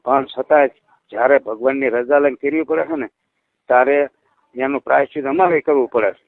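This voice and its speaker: A man talks a caller through a ritual over the phone, reassuring and instructive, checking often that she understands. His voice comes through a tinny phone line with no room sound. He sits at a middling pitch. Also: a little fast, vocal fry, dry recording. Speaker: ritual healer advising a caller. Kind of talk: phone call.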